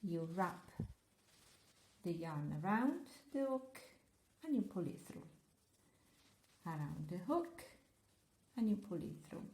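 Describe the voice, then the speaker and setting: Italian-accented woman, relaxed craft teacher, slow and warm delivery, roomy sound. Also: lowish voice. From Italy. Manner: playful, chatty, gently joking instructions. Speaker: crochet teacher. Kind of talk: step-by-step craft tutorial.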